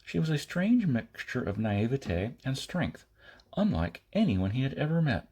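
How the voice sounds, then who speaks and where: A man reading a science fiction novel aloud as an amateur audiobook narrator, in short phrases with pauses and the odd stumble. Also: mid-range voice, big pitch swings, warm tone, slightly rough. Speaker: audiobook reader.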